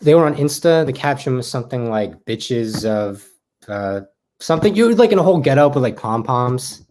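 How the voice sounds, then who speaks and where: An awkward, eager young adult, performed by an actor in a streamed play. The voice is medium in pitch, with a hesitant, rambling, conversational delivery. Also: lively intonation, normal speed, a little mellow, lightly acted. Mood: wistful, rambling. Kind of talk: naturalistic play dialogue over video call.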